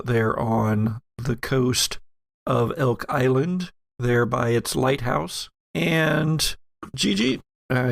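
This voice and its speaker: A man acting as game master and podcast host, narrating casually and haltingly as he thinks aloud. His voice is mid-pitched with noticeable fry, and the recording is dry and close. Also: no echo.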